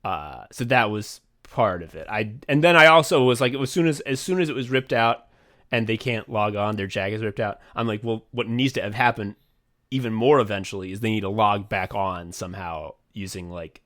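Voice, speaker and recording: A man's voice: lowish voice, moderate pace. Rambling, profane man in casual chat. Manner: rambling, profane, excitable, acts out his own thought process. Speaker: podcast co-host, writer. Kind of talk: casual behind-the-scenes chat.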